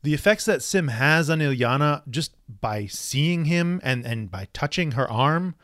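A man's voice: a little high, expressive, measured pace, a bit bright, no echo. Male podcast co-host, casual comic recap, narrates panels, dry close sound. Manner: narrates the panels and reads dialogue aloud.

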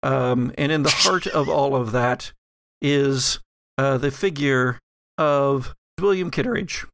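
A man running an actual-play tabletop game as game master, narrating haltingly with playful teasing. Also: medium pitch, vocal fry, fairly bright tone, no room sound.

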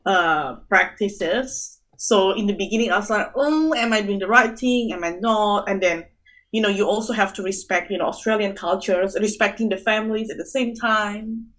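A woman's voice: mid-range voice, normal speed, a bit bright. A young woman from Indonesia, an early childhood teacher, talking earnestly in a casual interview with lots of "you know" fillers.

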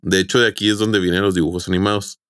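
A man's voice: low voice, level tone, rapid-fire, a bit bright, smooth, dry recording, studio quality. A man co-hosting a podcast, presenting a prepared topic in an informal, conversational way. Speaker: podcast co-host.

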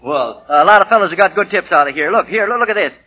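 Eager, high-voiced young husband. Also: male voice, animated, fast, pushed and bright, exaggerated voice. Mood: boastful, earnest. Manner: stammering, flustered, boyish. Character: eager, gullible young husband full of get-rich schemes. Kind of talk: 1940s radio comedy acting. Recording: dull lo-fi 1940 radio recording.

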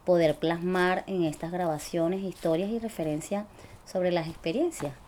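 Venezuelan woman reading her own story, low mellow voice, slow and careful, faint noise behind. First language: Spanish. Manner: measured, deliberate reading. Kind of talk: reading a personal memoir.

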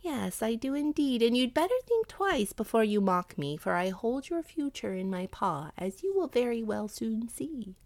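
A woman reading aloud voices a clever, scheming male cat who talks with calm confidence. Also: normal speed, hardly any pauses, no room sound. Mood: confident. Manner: confident, explanatory, slightly raised pitch.

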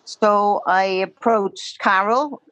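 An older woman, a retired library volunteer, speaking gently as a podcast guest. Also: mid-range voice, slow, dry recording, low-quality recording.

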